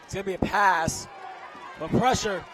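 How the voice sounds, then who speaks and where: A young man with a hoarse, strained voice doing excitable live play-by-play commentary outdoors at a football game. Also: high-pitched, moderate pace. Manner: excitable, shouts on big plays. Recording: loud outdoor stadium crowd noise.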